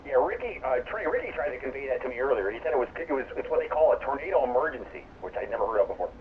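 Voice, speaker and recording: Lively fast-talking man on a tinny radio. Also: medium pitch, a loud crowd. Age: 40s. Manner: animated, jokey, laughs often. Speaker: amateur radio operator. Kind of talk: radio net chat. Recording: tinny two-way radio with clear hiss.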